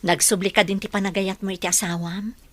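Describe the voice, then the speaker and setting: A woman's voice: mid-range voice, fairly even intonation, fast, no echo, close to an everyday voice. Woman acting as a motherly Nana, dry sound. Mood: concerned, probing. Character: concerned, motherly older woman, the family's Nana. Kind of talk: radio drama acting in conversational dialogue.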